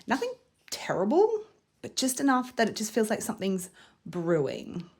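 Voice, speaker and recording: A woman, chatting casually to camera in a lifestyle vlog, with lots of fillers and little laughs, and a slightly croaky voice from a cold. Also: mid-range voice, huge pitch swings, normal speed, a little mellow. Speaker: vlogger.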